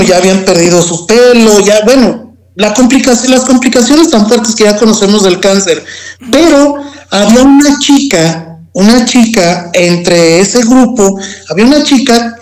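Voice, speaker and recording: A man's voice: sits high, a little mellow, low-quality recording. A man with a Mexican accent giving a personal testimony in conversation, reflective and repeating phrases for emphasis. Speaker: guest telling a personal testimony.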